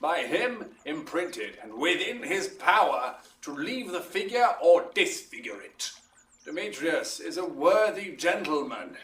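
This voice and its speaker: Guy acting stately duke in shakespeare audio play, slow n theatrical, very up-and-down pitch, echoey, bit far from mic. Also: big pitch swings, fairly bright tone. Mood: grave, advising. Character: lordly, measured duke of Athens passing judgment. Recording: roomy echo, distant from mic.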